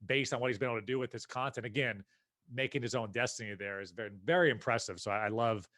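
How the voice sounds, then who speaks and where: An American man speaks as a guest on a podcast, in a conversational and opinionated way. His voice sits at an average male pitch, and he puts emphasis on his points. The sound is dry, with no room echo. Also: fast, fairly bright tone. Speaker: podcast guest, company founder and CEO. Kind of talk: casual interview conversation.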